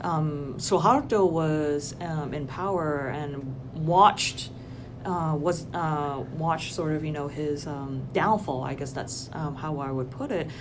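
A woman's voice: sits low, takes it slowly. A woman from Indonesia in a casual academic conversation, speaking thoughtfully with frequent ums and restarts. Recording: noisy background hiss.

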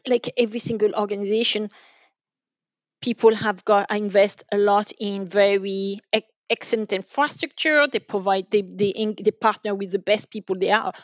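A woman speaking as a gives conversational answers as an interview guest on business matters. She talks haltingly, circling back over words as she thinks. The sound is dry and somewhat dull, like a band-limited online call. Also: medium pitch, dry recording. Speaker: podcast guest, enterprise sales manager.

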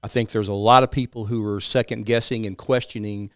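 East Texas man with a smooth voice. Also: fairly low pitch, steady tone, fairly quick, no room sound, studio quality. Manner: hedging, often checks in with "right?". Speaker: guest. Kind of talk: casual interview talk.

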